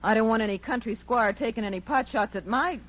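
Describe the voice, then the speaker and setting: A woman's voice: on the high side, a little fast, lightly acted. An actress playing a brash, slangy, teasing young city woman in a 1940s radio drama. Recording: muffled, noisy old radio recording.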